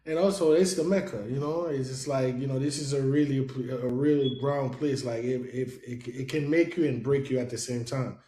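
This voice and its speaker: A man's voice: mid-range voice, normal speed, hardly any pauses, mellow. A man from Haiti hosting a casual podcast chat, loose and rambling with lots of fillers. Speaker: podcast host. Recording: roomy echo.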